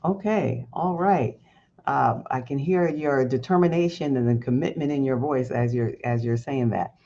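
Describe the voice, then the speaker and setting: A man hosting a podcast interview, speaking in a measured and appreciative way. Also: medium pitch, gravelly, dark tone, lo-fi sound. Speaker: podcast host.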